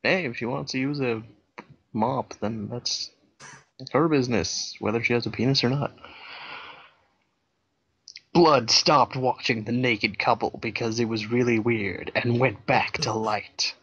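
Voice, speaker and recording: Deadpan man reading, slightly lo-fi. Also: medium pitch, unhurried, pauses often, slightly rough. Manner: deadpan narration with dry asides. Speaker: co-host reading a fan fiction aloud. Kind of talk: comedic dramatic reading.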